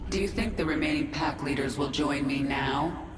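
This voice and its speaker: A woman's voice: deep voice, moderate pace, natural voice. A woman playing a formidable alien swarm queen in a video game, speaking with cool, dry, threatening authority. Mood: cool, assured. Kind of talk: video game cutscene acting. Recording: roomy echo, music behind.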